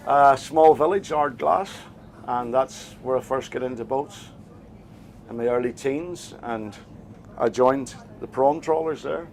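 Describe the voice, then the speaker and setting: Northern Irish man, skipper, mid-pitched, halting plain-spoken interviewee on a boat. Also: gravelly, slight background noise. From Northern Ireland. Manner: hesitant, plain-spoken, many fillers and restarts. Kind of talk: casual interview answers. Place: on a small boat offshore.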